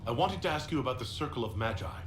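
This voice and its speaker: A man playing a polite, inquisitive hero in a fantasy video game, asking questions in a calm, courteous way. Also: medium pitch, fast, close to an everyday voice. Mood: earnest, curious. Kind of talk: scripted video game dialogue. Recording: roomy echo, clear background noise.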